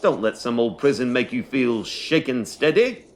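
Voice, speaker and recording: A man's voice: medium pitch, lively intonation, moderate pace, a little hiss or hum, lightly acted. A man playing a cocky, teasing schoolboy wizard with flippant, mock-posh banter in an audio drama.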